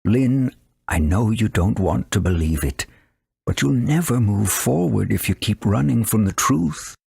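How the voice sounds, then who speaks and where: A man voicing a fussy, caring elderly owl with a raspy voice and theatrical delivery in a video game. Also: lowish voice, animated, measured pace, darkish tone, no room sound, clean studio sound, heavily acted. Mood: grave, sorrowful. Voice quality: raspy, creaky.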